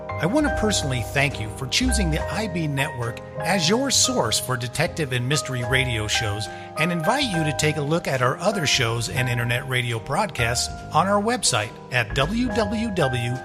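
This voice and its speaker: A man hosting internet radio, reading a scripted promotional announcement. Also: fairly high pitch. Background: loud music.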